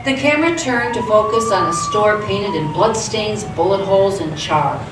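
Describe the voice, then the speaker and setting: A woman narrates a radio theatre piece from a script. Her mid-pitched voice comes from some distance in an echoey space, with clear noise behind it. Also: moderate pace.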